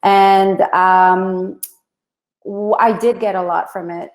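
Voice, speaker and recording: A woman from Puerto Rico speaking as a guest on a live online panel, reflective and halting as she gives heartfelt remarks. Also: medium pitch, fairly even intonation, echoey room. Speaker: film visual effects artist, panel guest.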